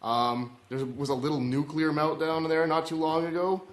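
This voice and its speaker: A man's voice: on the high side, flat delivery, moderate pace, close to an everyday voice. A man playing an indignant, patriotic Cold War who argues in a stammering, self-righteous way. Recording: roomy, echoey sound.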